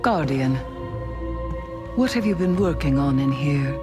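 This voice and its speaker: A woman's voice: deep voice, expressive, measured pace. An actress voicing a sly, taunting witch queen in a video game, with a languid, mocking manner. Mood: sly, amused. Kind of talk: video game villain acting. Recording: loud music over the voice.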